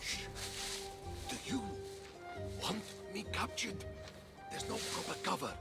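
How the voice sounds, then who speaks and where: An actor playing an insecure, blustering war god in bird form, with a gravelly, pompous, self-pitying delivery for a video game. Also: male voice, high-pitched, lively intonation, slow, loud music behind the voice, exaggerated voice. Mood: anxious. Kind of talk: animated video game character acting.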